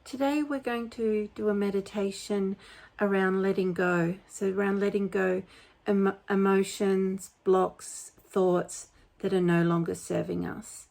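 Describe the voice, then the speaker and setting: A woman leading a guided meditation in a gentle, unhurried, informal and soothing way. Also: mid-range voice, fairly even intonation, creaky. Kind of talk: guided meditation, spoken softly to listeners.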